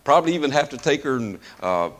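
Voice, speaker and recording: A hoarse middle-aged man leads a service with a loose, chuckling manner, full of "uh"s between his phrases. His pitch swings widely and climbs as he presses his points. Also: big pitch swings, quick pace. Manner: folksy, chuckling, loose with fillers. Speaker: pastor. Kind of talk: sermon.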